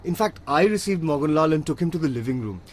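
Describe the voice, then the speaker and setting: An actor playing a polite, obliging household secretary in a radio drama. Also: male voice, fairly high pitch, expressive, quick pace, slight background noise, close to an everyday voice. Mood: careful, answering.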